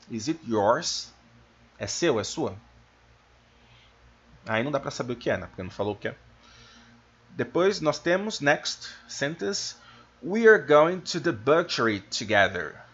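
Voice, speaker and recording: Husky Brazilian man teaching, slightly muffled. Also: mid-range voice, fairly bright tone. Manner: explanatory, slows down to enunciate examples. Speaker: English teacher. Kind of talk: informal video lesson. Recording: dull, lo-fi microphone sound.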